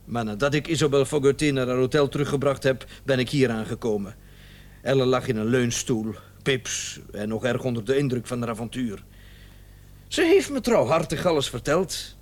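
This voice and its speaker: Radio drama doctor, male actor: medium-pitched, sardonic and self-satisfied, theatrical delivery with drawn-out, ironic emphasis. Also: natural voice. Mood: dramatic, ironic. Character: smug, talkative doctor, bitterly sarcastic about his unfaithful wife. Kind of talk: Dutch radio play acting.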